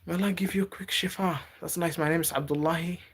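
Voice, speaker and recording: A man from Africa, not a native English speaker, chatting live with viewers and giving gentle advice, halting and repeating words. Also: on the high side, fairly even intonation, a little hiss or hum. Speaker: livestreamer. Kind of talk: casual live-stream chat with viewers.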